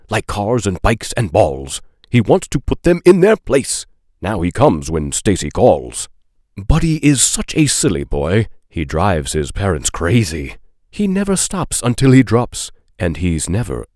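A man reads a picture book to young children in a low voice. His delivery is playful and expressive, with big swings in intonation. The recording is close and dry. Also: no echo. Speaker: read-aloud host. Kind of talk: animated children's storybook reading.

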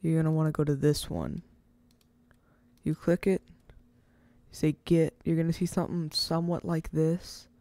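A casual, rambling speaker hosting an informal step-by-step software tutorial, recorded close to the mic as a voice-over. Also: mid-range voice, flat delivery, measured pace, dry recording. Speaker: tutorial host.

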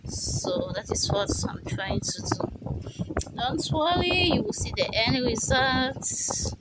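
A woman's voice: high-pitched, lively intonation, slow, very noisy. A young woman talks viewers through a homemade recipe in a loose, chatty way, often calling out to them as guys. She records herself at home on a phone with no separate microphone. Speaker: beauty vlogger. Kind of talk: casual how-to vlog. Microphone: phone camera, no microphone.

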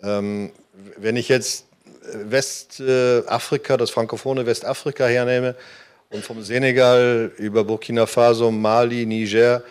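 A man's voice: mid-range voice, flat delivery, unhurried, smooth. A man speaking as a panelist at a conference, earnest, with many hesitation fillers, on a handheld mic in a hall. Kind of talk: panel discussion.

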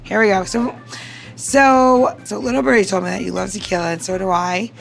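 A woman's voice: mid-range voice, fairly even intonation, fairly quick, creaky, soft background music. A woman chatting informally and self-deprecatingly as she demonstrates a cocktail recipe as a podcast host.

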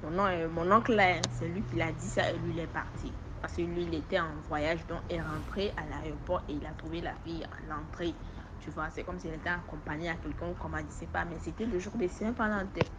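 A woman from Togo with a West African accent, speaking French as an additional language and giving an informal testimony in an animated storytelling way. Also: fairly low pitch, brisk, background noise. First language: not French. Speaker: testimony giver. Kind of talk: informal spoken personal testimony.

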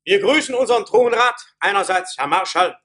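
An actor playing a ceremonious, fussy emperor in a fairy-tale radio play, with a husky voice. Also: male voice, high voice, expressive, normal speed, a bit bright. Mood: ceremonious, concerned. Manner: stately, formal, fussy. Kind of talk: theatrical radio play acting.

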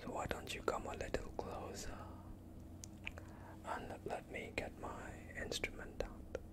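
A young man playing a gentle vampire doctor in an ASMR roleplay, whispering soothingly and reassuringly close to the microphone. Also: measured pace, close to an everyday voice. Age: young adult. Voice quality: breathy whisper. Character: gentle, attentive male vampire doctor calming a patient. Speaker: ASMR performer.

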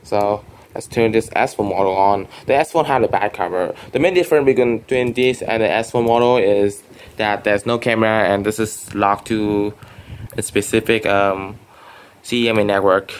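A man's voice: lowish voice, moderate pace, a little hiss or hum. A young man whose first language is Vietnamese, casually rambling through a show-and-tell with many restarts and fillers. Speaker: phone collector vlogger. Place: bedroom at home.

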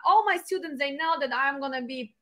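A middle-aged woman who is not a native English speaker, hosting an interview over a video call in a lively, emphatic way. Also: sits high, fairly quick. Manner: lively, emphatic, animated hand gestures. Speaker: host and dispatch trainer. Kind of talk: conversational interview. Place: home room indoors. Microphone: video call webcam.

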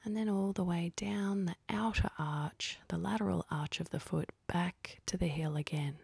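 Soft breathy lady doing a slow guided meditation, bit of creaky fry, super dry close recording, calm and gentle. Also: fairly low pitch, no room sound. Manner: calm, gentle, unhurried instructing with long pauses.

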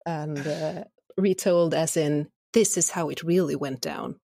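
A woman chats casually as an interview guest. Her voice is on the low side for a woman and is recorded dry and close, without room echo. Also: a little slow, vocal fry, warm tone. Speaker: podcast guest, audio drama creator.

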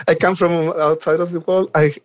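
A man's voice: a little high, little pitch movement, moderate pace, no room sound. A man from Chile with a Chilean Spanish accent, chatting casually and enthusiastically as a podcast guest. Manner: enthusiastic, chuckles while talking. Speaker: guest, software developer advocate. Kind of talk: casual podcast interview chat.

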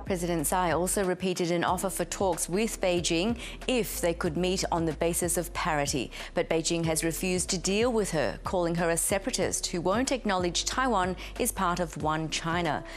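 Low-voiced woman reading the news. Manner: measured, formal delivery. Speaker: news presenter.

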